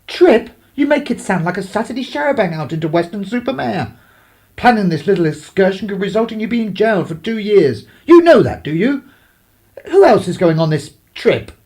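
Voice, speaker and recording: A man acting a domineering English clergyman in a radio play. He is middle-aged, stern and patronising. His delivery swings widely in pitch and drips with pompous scorn. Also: sing-song, normal speed, darkish tone. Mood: indignant, scornful.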